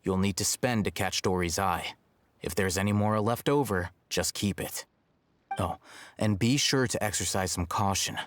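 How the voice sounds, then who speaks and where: A man playing a composed, shrewd scholar in a video game, speaking in a cool, measured, matter-of-fact way. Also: deep voice, slight background noise, close to an everyday voice. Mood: cool, warning.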